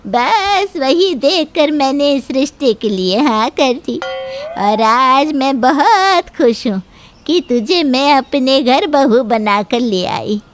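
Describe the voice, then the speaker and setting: Actress as flattering middle-aged saas, cartoon family drama; high-pitched, unhurried, gushing. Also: female voice, a little hiss or hum. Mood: gushing, fond. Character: doting, gossipy mother-in-law, middle-aged, flattering. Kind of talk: Hindi cartoon voice acting.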